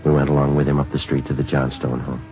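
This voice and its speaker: An actor playing a sober, plain-spoken police detective in a 1950s radio drama, with a clipped, understated, matter-of-fact delivery. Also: male voice, extremely low pitch, flat delivery, fast. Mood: flat narration. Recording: muffled old radio recording, faint music.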